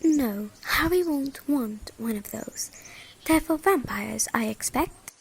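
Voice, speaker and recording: A woman acts an earnest schoolgirl in an audio play, bossy and anxious. The voice is high and turns breathy at times. There is faint noise behind it. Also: expressive, takes it slowly, exaggerated voice, low-quality recording. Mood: disapproving. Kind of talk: amateur audio drama acting.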